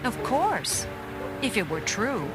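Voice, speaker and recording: A woman acts out a feisty, blunt woman scientist for a storybook tape. Her voice is high and swings widely in pitch, wary yet soft-hearted underneath. Music plays clearly behind her. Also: big pitch swings, slow. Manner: blunt, plain-spoken. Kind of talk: dramatized children's read-along storybook acting. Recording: voice over loud background music.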